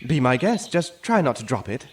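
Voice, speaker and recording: A man playing an anxious, melodramatic rich gentleman in an audio drama, with theatrical exclamations and old-fashioned phrasing. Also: mid-range voice, sing-song, normal speed, breathy edge. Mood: fretful, wistful.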